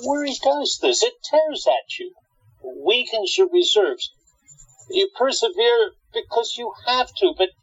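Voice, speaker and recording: A man's voice: very high-pitched, expressive, a little slow, slightly rough, lo-fi sound, heavily acted. A man playing an earnest, worried, loyal companion in a radio play, anxious and caring. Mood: earnest, resigned.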